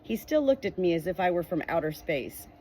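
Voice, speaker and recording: A woman's voice: lowish voice, very animated, a little fast, very noisy. Earnest woman reading a faith book aloud. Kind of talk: reading a nonfiction book aloud with personal reflection.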